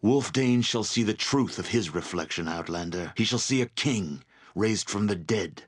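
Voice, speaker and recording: An actor playing a proud, domineering barbarian chieftain with a dragon's spirit in a video game, speaking with gravelly, imperious contempt. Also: male voice, on the low side, animated, normal speed. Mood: scornful, commanding. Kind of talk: dramatic video game voice acting.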